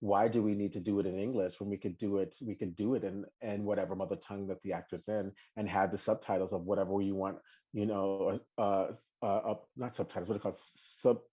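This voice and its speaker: A man co-hosting a podcast in a casual live chat, talking animatedly with many restarts and high laughing outbursts. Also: fairly even intonation, fairly quick, warm tone, husky. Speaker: podcast co-host.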